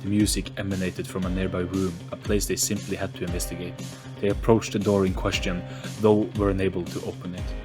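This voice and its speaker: A man's voice: low-pitched, flat delivery, a little fast, music in the background. A man reading scripted narration for a storybook-style audio play.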